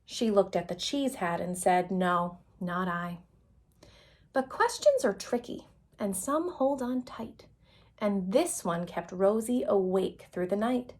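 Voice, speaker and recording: A woman's voice: mid-range voice, expressive, unhurried, darkish tone. A woman reading a children's picture book aloud as a storyteller, expressively and with dramatic emphasis.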